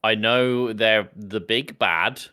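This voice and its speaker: A man with a fairly low voice and a bright, forward edge chats casually as a podcast co-host. His delivery is informal and conversational. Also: slow, clear, resonant tone. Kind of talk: casual chat.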